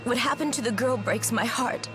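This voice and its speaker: A woman's voice: a little high, moderate pace, over music, close to an everyday voice. An actress playing an anxious young woman in a video game, pleading and defensive. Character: anxious young woman, engaged, hiding a shameful past.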